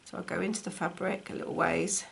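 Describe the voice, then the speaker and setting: Woman, croaky post-cold voice, gravelly edge, relaxed talk-through craft tutorial, faint dishwasher hum behind. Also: lowish voice, fast. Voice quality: slightly gravelly. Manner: chatty, talks while stitching. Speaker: textile crafts teacher. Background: dishwasher running.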